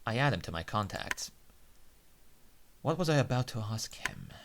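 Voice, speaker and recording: A man's voice: fairly low pitch, very animated, moderate pace, a little hiss or hum. A man reading a visual novel aloud as game narration, with occasional asides of his own. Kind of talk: narrated read-aloud let's play with asides.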